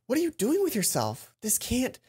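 A man's voice: very high-pitched, wildly swooping pitch, normal speed, dark tone, husky, voice pushed up, full character voice. A man voicing a shy teenage schoolgirl from a visual novel, earnest and emotional as she tries to toughen up. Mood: frustrated. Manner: earnest, emotional self-scolding. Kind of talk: visual novel character read aloud.

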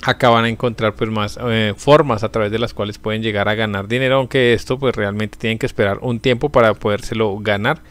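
A man's voice: fairly low pitch, fairly even intonation, a little fast, few pauses, fairly bright tone. Casual young man explaining a website. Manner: casual explanatory, fillers like "pues" and "entonces". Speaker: channel host. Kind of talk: screen-recorded tutorial walkthrough.

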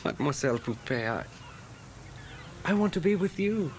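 An earnest rebel fighter. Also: male voice, a little high, big pitch swings, moderate pace, a little hiss or hum. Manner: formal, stiff careful English. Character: earnest idealistic male revolutionary fighter. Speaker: actor in a radio play. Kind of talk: early 1980s radio drama acting.

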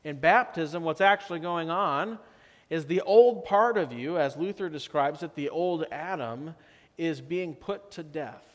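A man in his thirties preaches from the pulpit in a church sanctuary, his voice a touch higher than most men's. He speaks slowly and deliberately, and he lets key phrases fall quieter. A long reverb from the room trails behind his words. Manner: slow, measured preaching with drops to soft emphasis. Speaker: pastor. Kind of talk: sermon.

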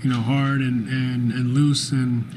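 A man in his forties at a press room desk mic answers reporters after a game. He speaks in an even, mid-pitched voice, thinking aloud with frequent fillers and restarts. A clear noise floor from the broadcast feed sits under it. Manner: measured, thoughtful, many fillers and restarts. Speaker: basketball head coach. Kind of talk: postgame press conference answers. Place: press room with sponsor backdrop, indoors. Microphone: desk microphone at arm's length.

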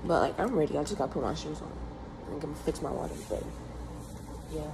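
A young woman talks into a phone held close in a small dorm room. Her voice is hoarse and gravelly, worn down from shouting, and she sounds exhausted but keeps chatting. The recording is noisy and unpolished. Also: low-pitched, expressive, fairly quick. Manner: chatty, rambling, slangy. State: very tired. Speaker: vlogger at cheer camp. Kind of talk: casual selfie vlog chat. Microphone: phone held close at arm's length.

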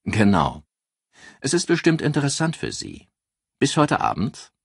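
Guy doing a brisk wry cop for a crime audiobook, slightly husky, lively delivery. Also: medium pitch, no echo, voice pushed up, exaggerated voice. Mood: polite, wry. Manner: brisk, dry, polite. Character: businesslike, wry male police chief inspector.

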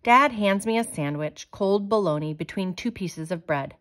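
A woman reading a children's picture book aloud in a measured, expressive way. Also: mid-range voice. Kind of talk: storybook read-aloud.

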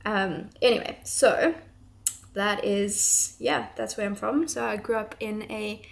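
A woman's voice: mid-range voice, takes it slowly, creaky. A woman in her thirties from South Africa, her accent tinged with English, chatting to camera in a rambling, casual way while working at her bench at home. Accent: South African with some English. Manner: rambling, chatty, laughs often. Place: home workshop, small room indoors.

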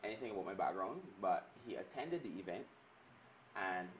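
A man in his thirties from Barbados speaks with a very low voice in a measured, reflective way. He sits some distance from the mic, and a steady noise hiss runs under his words. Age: 30s. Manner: measured, reflective storytelling with restarts. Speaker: music business executive. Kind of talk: interview answers. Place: indoor studio set with glass table and armchairs.

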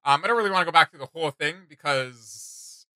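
Very bright, forceful young man, enthusiastic chat. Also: on the high side, fast, dry recording. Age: young adult. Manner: enthusiastic, animated, slangy. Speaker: reaction video co-host. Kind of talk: casual reaction chat. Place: small indoor room with gaming setup.

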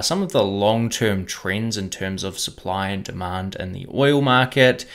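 Young man explaining stock moves. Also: fairly low pitch. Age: young adult. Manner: measured, explanatory, steady delivery. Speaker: investing channel host. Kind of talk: scripted explainer to camera. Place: home room with bookshelf, indoors.

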